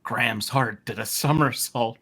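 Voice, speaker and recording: A man's voice: mid-range voice, moderate pace, fairly bright tone. A man narrating a story aloud for comedy, wry and amused, slipping into casual asides. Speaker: narrator and reader. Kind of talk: comic fan fiction reading with casual asides.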